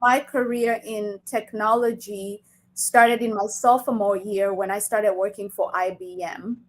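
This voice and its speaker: A woman's voice: mid-range voice, steady tone, measured pace. A middle-aged woman from Kenya with a Kenyan accent, answering interview questions in an explanatory, emphatic way. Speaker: interview guest, coach.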